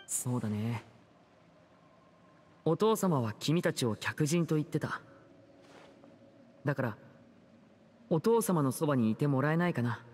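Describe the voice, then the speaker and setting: Young male stage magician for anime game: kind, composed leader, earnest and measured, reverberant. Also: fairly high pitch, expressive, darkish tone, a little hiss or hum. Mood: earnest, careful. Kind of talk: Japanese anime game dialogue acting.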